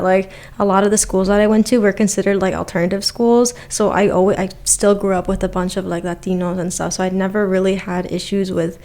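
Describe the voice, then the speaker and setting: A woman's voice: medium pitch, darkish tone. Rambling young woman guest. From Milwaukee, Wisconsin. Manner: rambling, filler-heavy. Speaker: podcast guest. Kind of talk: casual conversation.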